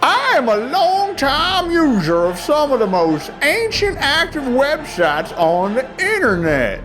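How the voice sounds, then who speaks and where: High, very animated woman, dramatic reading. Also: slow. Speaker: reader. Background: dramatic orchestral choral music.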